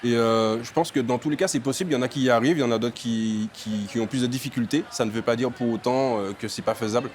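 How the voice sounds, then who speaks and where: A man's voice: mid-range voice, fast, few pauses, darkish tone, slight background noise. A young man from Martinique, an entrepreneur, answering interview questions with many fillers and restarts.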